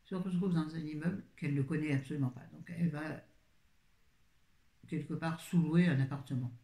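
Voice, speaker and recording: A woman's voice: sits low, normal speed, gravelly, warm tone. A woman chatting casually about books to her viewers, rambling and often interrupting herself. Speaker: book vlogger. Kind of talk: casual book review chat. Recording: roomy echo.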